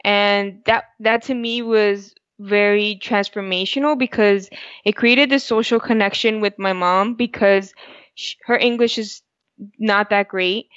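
A woman's voice: mid-range voice, fairly even intonation, measured pace, fairly bright tone, no room sound. Woman co-host with Spanish first language. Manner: reflective, with frequent restarts. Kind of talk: casual podcast conversation. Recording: dull, dry podcast audio.

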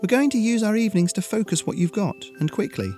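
A man voicing a teenage girl in a story, a protective and calmly firm friend. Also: sits high, quick pace, soft background music, voice pushed up. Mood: calm, insistent.

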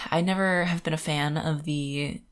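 Low-voiced woman chatting casually. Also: steady tone, slow pace, a bit bright, smooth. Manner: conversational, opinionated, frequent "like". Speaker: podcast co-host. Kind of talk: casual book discussion.

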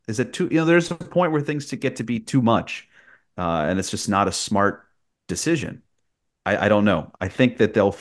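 Deep-voiced man talking sports. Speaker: sports play-by-play broadcaster, podcast guest. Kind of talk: conversational sports analysis.

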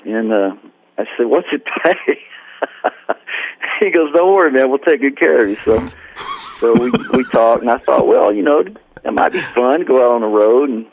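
A man's voice: mid-range voice, expressive, moderate pace, creaky, darkish tone. A man as the guest musician in an interview, telling a story in a rambling, self-deprecating way and acting out the dialogue. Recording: dry tinny phone line.

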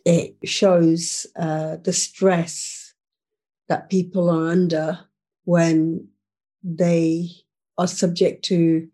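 Woman from uganda talking slow, dark mellow voice, lots of ums. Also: fairly low pitch, level tone. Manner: unhurried, hesitant with fillers. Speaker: guest, memoir author. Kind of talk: casual conversation.

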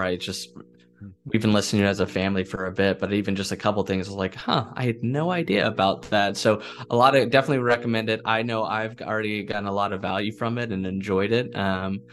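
A man's voice: fairly low pitch, fairly even intonation, quick pace, a bit bright, a little hiss or hum. A man hosting a podcast in a casual, rambling and appreciative conversational way. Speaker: podcast host.

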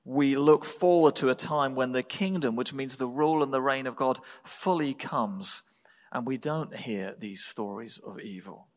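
A man with an English accent preaching a sermon as a pastor, speaking in measured, deliberate phrases with pauses. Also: medium pitch. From England. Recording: reverberant hall.

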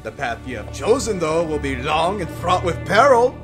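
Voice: mid-range voice, very animated, normal speed, natural voice. An actor playing a pompous, menacing omniscient narrator in an audio comedy drama, portentous and melodramatic, and easily flustered. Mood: grandiose menace. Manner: portentous, melodramatic, dragging out grim pronouncements. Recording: music behind the voice.

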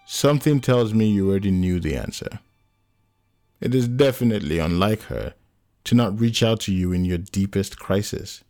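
A male narrator acts a solemn friend who brings bad news in an audiobook drama. He speaks low and carefully, with a measured, grave manner. Soft music plays behind him. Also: animated. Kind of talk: dramatic audiobook character acting.

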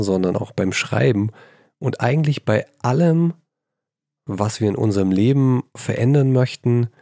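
A man hosts his solo writing podcast, a little hoarse from a cold, his voice low and full of gravel. He talks in a chatty, self-deprecating way with lively emphasis, holding the mic in his hand. The sound is dry and close, with no room echo. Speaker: podcast host. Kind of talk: casual solo podcast talk. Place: old childhood bedroom at his mother's house. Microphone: handheld microphone.

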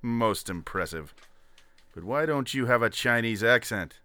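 A man's voice: mid-range voice, lively intonation, unhurried, bright, forceful tone, clean tone, no echo. A man playing a cocky, wisecracking adventurer hero with a brash manner in a comedy audio drama. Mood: challenging, cool. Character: cocky, quippy adventurer hero, easily bought.

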